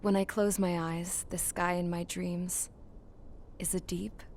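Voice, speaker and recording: A woman's voice: fairly low pitch, level tone, slow pace, a little mellow, a little hiss or hum. A woman playing a plain-spoken, gutsy young pilot and mechanic, narrating her own story in a scripted game. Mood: wistful, matter-of-fact. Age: young adult.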